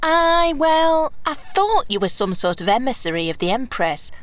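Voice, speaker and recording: A woman's voice: high voice, sing-song, normal speed. A woman playing a brash, wry, self-assured thief with a dry, teasing manner in a fantasy audio drama. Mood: sheepish.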